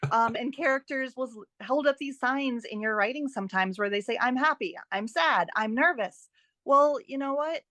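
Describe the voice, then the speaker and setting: A woman's voice: high voice, moderate pace, bright, forceful tone, no echo. Woman giving expert advice, close dry sound. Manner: emphatic, explanatory, uses rhetorical questions. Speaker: guest editing expert and author. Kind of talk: conversational advice interview.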